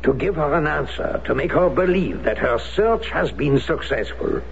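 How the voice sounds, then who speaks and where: An actor playing a persuasive, insistent former French Resistance fighter in a radio drama, speaking with theatrical, formal urgency. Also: male voice, fairly high pitch, animated, normal speed, vocal fry. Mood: smooth, coaxing. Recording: dull noisy old radio broadcast recording.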